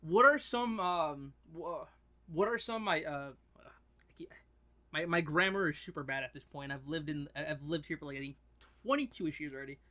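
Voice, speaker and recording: A man hosting a casual interview, excitable and quick to laugh. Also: on the high side. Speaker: interview host.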